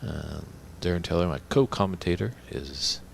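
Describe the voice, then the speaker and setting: A man's voice: low voice, lively intonation, moderate pace, creaky, slight background noise. A man commentating a snooker tournament in a chatty, informative way.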